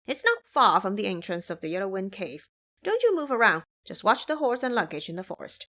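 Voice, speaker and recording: A woman's voice: medium pitch, big pitch swings, a little fast, pushed and bright. A woman voicing a brash, cocky male monkey disciple in an audio drama, with a scolding, bossy and self-assured manner. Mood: confident.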